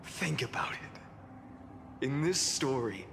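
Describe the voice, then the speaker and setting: Slow, solemn fallen hero. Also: male voice, mid-range voice, expressive, creaky, slight background noise, exaggerated voice. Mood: scornful, weary. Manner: solemn, grandiose, bitterly scornful. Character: weary, defiant male fallen hero burdened by countless lifetimes. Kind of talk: dramatic scripted video game acting. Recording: loud music behind the voice. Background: game soundtrack music.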